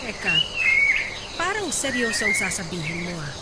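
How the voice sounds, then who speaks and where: A woman's voice: medium pitch, huge pitch swings, moderate pace, very noisy. A woman playing a money-minded, nagging middle-aged mother in an audio drama, chatty and coaxing. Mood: brisk. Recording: loud music under the dialogue. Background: music.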